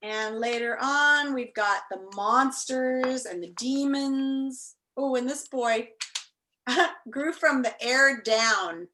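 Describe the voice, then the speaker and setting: A woman's voice: high voice, slow pace, pushed and bright, slight background noise, low-quality recording. A woman teacher talking and reading aloud to an online class, enthusiastic and encouraging. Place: indoors, on a video call.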